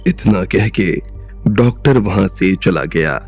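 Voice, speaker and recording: A male narrator with a very deep voice and a gravelly fry. He tells the story in a steady, scripted way. Soft music plays underneath. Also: takes it slowly.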